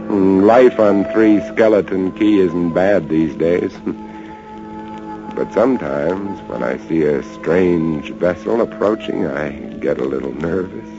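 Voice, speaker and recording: A haunted lighthouse keeper, slow dramatic storytelling, dull old radio sound with loud music behind. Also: male voice, low-pitched, heavily acted. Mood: wry, ominous. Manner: dramatic, halting, suspenseful storytelling. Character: haunted lighthouse keeper, a man, recounting a rat siege. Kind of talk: 1940s-50s radio drama narration.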